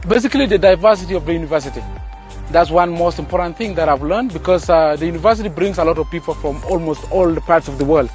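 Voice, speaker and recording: A man's voice: fairly high pitch, fast, over music. A young man, a university student, reflecting in an outdoor campus interview and repeating words as he searches for them. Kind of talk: interview answers.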